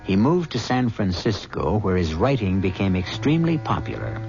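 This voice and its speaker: A man hosts an old radio mystery, narrating in a measured, ominous way. His voice is deep, and music plays under a dull, muffled recording. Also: very animated. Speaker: host and narrator.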